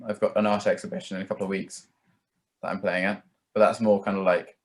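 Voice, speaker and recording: Low-voiced young man, flat and rambling. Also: fast talker. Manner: rambling, hedging, peppers talk with "like" and "kind of". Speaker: musician, interview guest. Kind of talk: casual chat.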